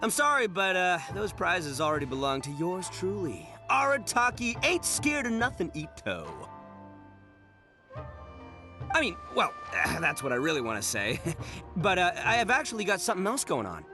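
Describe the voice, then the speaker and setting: A man's voice: high voice, big pitch swings, moderate pace, over music. A man voicing a brash, boastful young oni gang boss with a swaggering, slangy delivery in an anime game dub. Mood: cocky.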